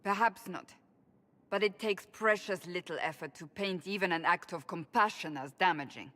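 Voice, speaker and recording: An actress playing a devout, stern woman warrior in a video game, speaking formally and earnestly in measured phrases. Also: lowish voice, pushed and bright. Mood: reflective, probing. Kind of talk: dramatic video game dialogue.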